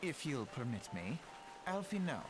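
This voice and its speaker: A man playing a polite, self-assured scholarly young noble in a fantasy game, speaking formally and eloquently over loud music. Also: medium pitch, big pitch swings, normal speed, close to an everyday voice. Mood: courteous.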